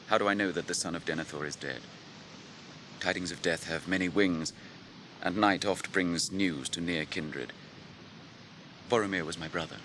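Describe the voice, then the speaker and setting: An English actor playing a stern, noble warrior captain in a radio drama, with a grave, deliberate, declamatory delivery. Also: male voice, low voice, fairly bright tone, a little hiss or hum. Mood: commanding, grave. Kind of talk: BBC radio drama acting.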